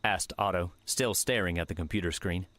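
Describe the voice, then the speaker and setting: A man narrating an audiobook in a measured, steady reading manner. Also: sits low, dry recording. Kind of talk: scripted narration.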